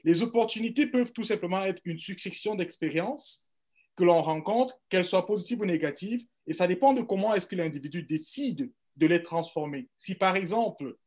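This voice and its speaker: Formal Cameroonian-born man, measured. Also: high voice, a little mellow. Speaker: panel guest. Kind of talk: radio panel discussion.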